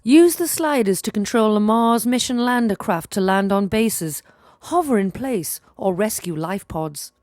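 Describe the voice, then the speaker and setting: A woman's voice: medium pitch, darkish tone, no room sound. Dry, close-recorded woman narrating game instructions.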